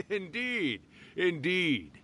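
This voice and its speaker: An actor playing an ancient, playful and proud yokai spirit in a game, speaking in a theatrical, teasing way. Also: male voice, high voice, big pitch swings, very slow, bright, forceful tone, a little hiss or hum, heavily acted. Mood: boastful, amused. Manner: theatrical, teasing, drawling flourishes. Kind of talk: animated game voice acting.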